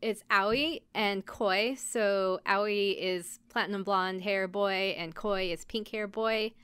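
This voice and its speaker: A woman's voice: medium pitch, steady tone, slow, fairly bright tone, smooth, no echo. A woman podcast host giving a casual, chatty recap, informally acting out bits of dialogue with wry commentary. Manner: informal, acts out characters' lines in passing.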